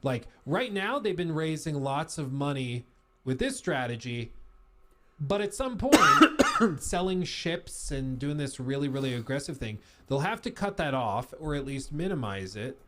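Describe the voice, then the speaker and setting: A man narrating a news report in a measured way, adding wry asides. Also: fairly high pitch, big pitch swings, a bit bright, slight background noise. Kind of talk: reading a news report with comments.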